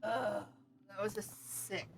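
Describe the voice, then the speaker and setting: A woman's voice: mid-range voice, little pitch movement, slow. A woman talking casually as a player in a tabletop role-playing game. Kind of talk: casual chat. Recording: background noise with clicks.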